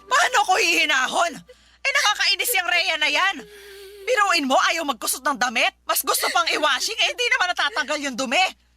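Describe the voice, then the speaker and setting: Radio drama mother-in-law, elderly: high, sharp-edged, forever complaining and scolding. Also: lively intonation, brisk, heavily acted. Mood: irritated, scolding. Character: nagging, fault-finding elderly mother-in-law, quick to take offence. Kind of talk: Filipino radio drama acting. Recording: music bed behind the voice.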